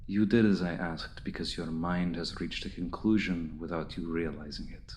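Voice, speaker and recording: A man playing an ancient, seductive and menacing vampire lord in an ASMR roleplay, speaking in a deliberate, suave, condescending and hypnotic manner, often sinking to a hushed murmur. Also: low voice, steady tone, a little hiss or hum, lightly acted. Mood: seductive, commanding. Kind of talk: ASMR roleplay, intimate dramatic monologue.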